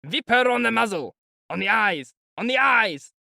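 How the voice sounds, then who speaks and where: A man's voice: sits high, very animated, measured pace, gravelly, pushed and bright, no echo, clean studio sound, voice pushed up, heavily acted. A man voicing a brutal, drunken male peasant who bellows in rage. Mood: raging. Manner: bellowing, raging.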